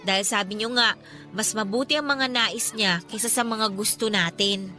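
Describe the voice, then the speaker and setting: A woman's voice: mid-range voice, normal speed, sharp tone, faint music behind, natural voice. A woman acting a cheerful, devout daughter in her twenties in a Filipino radio drama, with a playful, respectful and earnest manner and a Filipino accent. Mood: humble, grateful. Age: 20s. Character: cheerful, devout, teasing 28-year-old daughter.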